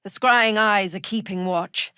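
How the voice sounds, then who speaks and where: A woman playing a cruel, zealous cult prison warden in a fantasy video game, haughty and sardonic, with measured, threatening delivery. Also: medium pitch, sharp tone, clear, resonant tone, no room sound, studio quality. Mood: menacing. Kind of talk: video game character voice acting.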